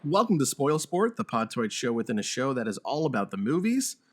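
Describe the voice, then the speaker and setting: A man's voice: medium pitch, very animated, a little fast, heavy background noise. A man hosting a podcast, chatting casually about games and film and swearing freely. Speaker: podcast host.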